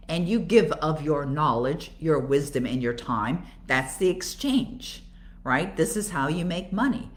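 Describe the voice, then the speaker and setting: Low-pitched older Canadian woman. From Toronto, Canada. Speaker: coach and author hosting a live Q&A. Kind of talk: conversational live Q&A coaching talk.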